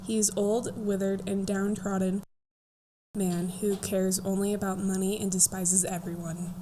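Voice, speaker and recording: A woman's voice: medium pitch, level tone, a little slow, warm tone, poor audio. A teenage girl, a student narrator, reading scripted narration for a radio drama. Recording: faint background noise.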